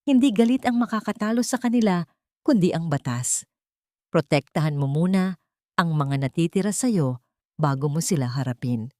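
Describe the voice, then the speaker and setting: Gentle protective man in an audio drama, read by a woman, Filipino accent, mellow, steady and earnest. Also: lowish voice, moderate pace, no room sound, clean studio sound, lightly acted. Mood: calm, consoling. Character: calm, gentle man giving protective, sensible advice.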